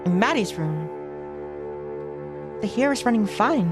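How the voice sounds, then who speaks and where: A woman reading a visual novel aloud as a streamer, narrating in measured phrases with casual commentary. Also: medium pitch, lively intonation. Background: music.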